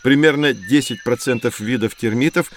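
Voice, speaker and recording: A man playing a knowledgeable guide who teaches children in a didactic, expressive way in an educational audio drama. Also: mid-range voice, normal speed, a little hiss or hum, natural voice. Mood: explanatory.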